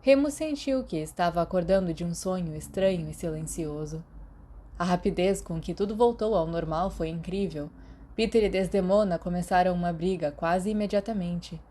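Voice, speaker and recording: A woman's voice: fairly low pitch, moderate pace, dark tone, a little hiss or hum. A woman with a Brazilian accent reading a scripted fan fiction story aloud as an audiobook narrator.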